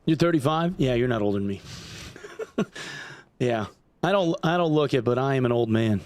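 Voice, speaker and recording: A man's voice: medium pitch, animated, normal speed, a little mellow. A man in his forties from upstate New York, chatting casually and profanely with his livestream audience while he games, rambling with lots of "like" and "dude". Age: 40s. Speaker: gaming streamer.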